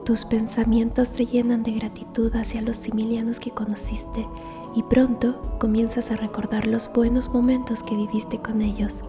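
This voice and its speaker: A woman narrating a scripted ASMR story in a soft, hushed, breathy whisper. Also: on the high side, flat delivery, normal speed, music in the background.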